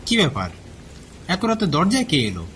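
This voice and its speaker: A man's voice: sits high, huge pitch swings, fairly quick, a bit bright, slight background noise, heavily acted. A man voicing a worried, bewildered village fisherman in a cartoon, with a hesitant manner. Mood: puzzled. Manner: hesitant, repeating words.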